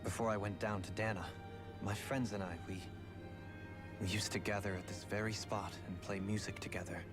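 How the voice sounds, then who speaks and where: Man playing a low-voiced, solemn, refined nobleman. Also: little pitch movement, close to an everyday voice. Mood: wistful. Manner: formal, measured, solemn. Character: refined, melancholy young nobleman, grave and self-effacing. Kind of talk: video game cutscene acting. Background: game music.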